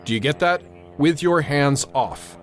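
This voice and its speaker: An actor playing a tense, authoritative airfield controller in a radio drama, narrating and giving orders with clipped urgency. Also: male voice, fairly high pitch, a little slow, soft background music, lightly acted. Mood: stern, insistent. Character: tense, commanding male airfield tower controller narrating a crisis.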